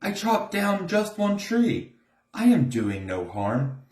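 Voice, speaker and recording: A man's voice: high voice, huge pitch swings, slow pace, a little mellow, pitched above the performer's own voice, heavily acted. A man voicing a secretive, greedy old hermit creature in a storybook, full of self-justification. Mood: boastful, defensive. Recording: roomy, echoing room sound.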